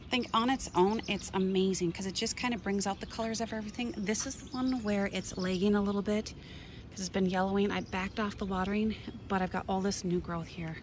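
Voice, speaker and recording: A woman from Minnesota gives an eager, chatty tour of her outdoor garden, pointing things out as she goes. Her voice sits at an ordinary middle pitch, recorded on a handheld phone. Faint birdsong and outdoor air sit softly behind her. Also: fairly even intonation, a little fast, background noise. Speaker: gardening vlogger.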